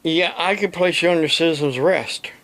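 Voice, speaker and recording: A man from the United States giving an unscripted explanation to camera, speaking haltingly in short phrases with long pauses between them. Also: fairly high pitch, fairly bright tone, no room sound, rough recording. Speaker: amateur radio operator and preacher making a video.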